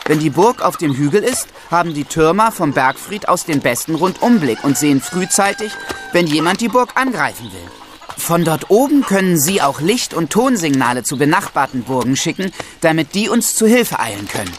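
A man's voice: on the high side, very animated, normal speed, slight background noise. A young man playing an eager, proud medieval squire who explains things to visiting children in an audio drama. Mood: amused, explaining. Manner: explanatory, enumerating.

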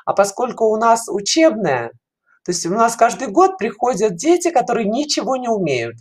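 A middle-aged woman explaining things conversationally as an interview guest, halting and often rephrasing, on a headset in a video call. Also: a little high, big pitch swings, husky. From Russia. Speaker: guest, children's animation studio educator. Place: indoor room, video call.

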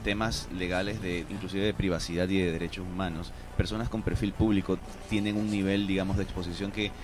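A man's voice: deep voice, level tone, a little fast, background noise. An Ecuadorian man, middle-aged, explaining his opinions emphatically in a radio discussion, close on a studio microphone. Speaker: radio show guest. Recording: music playing behind the voice. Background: music.

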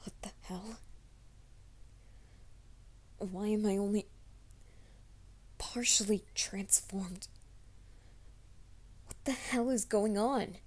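A woman's voice: mid-range voice, steady tone, drawn-out words, long pauses. Woman voicing a whispering young werewolf man. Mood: tense whisper. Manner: mostly hushed, urgent whispering to himself. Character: young male werewolf soldier, anxious and secretive. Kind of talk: ASMR roleplay audio.

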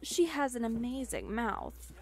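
A woman playing a high-voiced, unhurried confident young witch. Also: wildly swooping pitch, vocal fry, full character voice. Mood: warm, playful. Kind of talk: ASMR roleplay acting.

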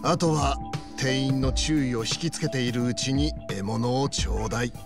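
An actor playing an earnest former yakuza underling in a video game, polite to seniors with a rough, wary streak. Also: male voice, mid-range voice, measured pace, natural voice. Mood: cool, explanatory. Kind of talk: Japanese video game voice acting. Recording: music playing behind the voice. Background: background music.

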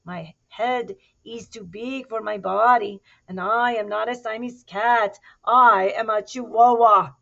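A playful young male kitten with a put-on Spanish accent chants his rhymes in a sing-song lilt, as a woman reading aloud puts him on. The voice sits higher than her own, with some gravel, on a slightly dull, lo-fi recording. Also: fairly even intonation, takes it slowly, hardly any pauses, pushed and bright, heavily acted. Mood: proud.

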